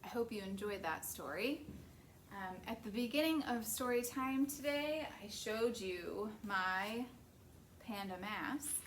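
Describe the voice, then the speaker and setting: A woman's voice: medium pitch, lively intonation, slow, slight background noise. A woman hosting a library story time, reading a picture book aloud and chatting casually to viewers.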